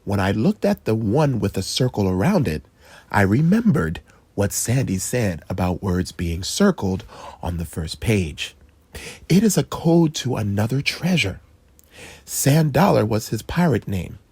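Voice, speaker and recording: A storyteller voicing a calm father who explains the family history to his son. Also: medium pitch, slightly rough, no echo, heavily acted. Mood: explaining. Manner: soft, measured storytelling. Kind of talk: children's storybook read-aloud.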